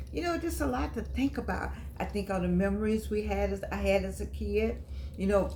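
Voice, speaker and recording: A woman in her eighties hosting her own vlog, chatting and reminiscing in long, drawn-out phrases. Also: fairly low pitch, darkish tone, a little hiss or hum. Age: 80s. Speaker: host. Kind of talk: casual vlog monologue.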